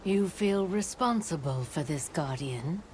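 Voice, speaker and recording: A woman playing a solemn, all-knowing lore keeper in a video game, speaking in a measured, formal way that turns breathy at phrase ends. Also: deep voice, animated, background noise. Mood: calm, resigned. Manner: measured, grave, formal storytelling delivery. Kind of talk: cinematic video game dialogue. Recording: loud music under the voice. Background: loud music.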